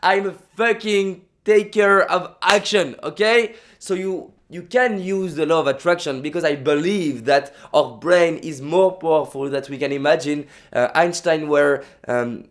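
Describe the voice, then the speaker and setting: A man's voice: a little high, a little slow, hardly any pauses. A young man with a French accent, a native French speaker, giving an emphatic motivational vlog talk full of repetition and restarts. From France.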